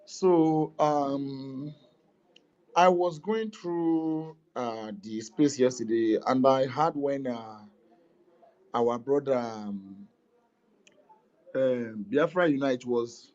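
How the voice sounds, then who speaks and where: A man's voice: mid-range voice, drawn-out words, pauses often, a little mellow, highs cut off. A Nigerian man giving an impassioned speech in an online audio room, emphatic and repetitive, firing rhetorical questions.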